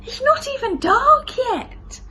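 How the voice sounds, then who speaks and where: An eager little elephant child acted by a woman reader, cheeky and pleading in a storybook way. The voice sits very high and swoops about, over clear background noise. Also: lively intonation, takes it slowly, bright, forceful tone, heavily acted.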